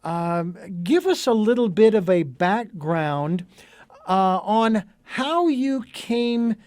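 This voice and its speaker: A man's voice: high-pitched, expressive, slow, darkish tone, dry recording. A middle-aged man hosting a radio interview show, speaking earnestly with a meandering flow and many fillers. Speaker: radio talk show host.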